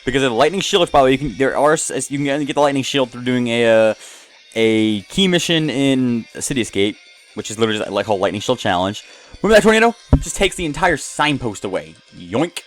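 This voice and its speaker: A man's voice: medium pitch, expressive, quick pace. A man giving casual, off-the-cuff commentary on a video game, rambling and jumping between asides as the host. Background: game music.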